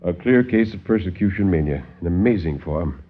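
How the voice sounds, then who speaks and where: A man voicing a calm, guarded psychiatrist, soft-spoken and measured, in 1950s radio drama style. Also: deep voice. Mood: grave, clinical. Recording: muffled 1950s radio recording.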